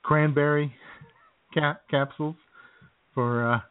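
A man's voice: a little high, fairly even intonation, takes it slowly. A man co-hosting a comedy radio show in casual, sardonic banter with crude humour. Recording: narrow phone-like audio.

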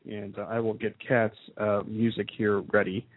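A laid-back man in his thirties hosting a podcast, joking along and padding his talk with fillers. His husky voice is dry and close, squeezed into a narrow, phone-like sound. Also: on the low side, flat delivery, normal speed, no room sound. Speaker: podcast host, doctor. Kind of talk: casual radio-style podcast hosting.

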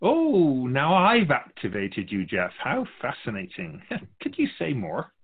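Expressive man giving measured spiritual teaching. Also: medium pitch, slightly rough, phone-quality sound. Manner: measured, didactic, explanatory. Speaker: spiritual guide speaking as the Keeper.

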